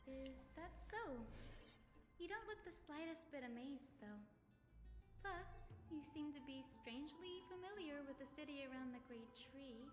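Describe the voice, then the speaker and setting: A woman's voice: sits high, expressive, moderate pace. An actress playing a sweet, earnest young female physician in a video game, with a lightly playful manner. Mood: gently curious. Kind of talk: scripted video game dialogue. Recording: roomy hall reverb. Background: music.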